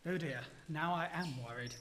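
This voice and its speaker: A woman playing an earnest, nervous, polite young gentleman in a period radio play. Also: sits low, moderate pace, a little hiss or hum. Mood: earnest, uneasy.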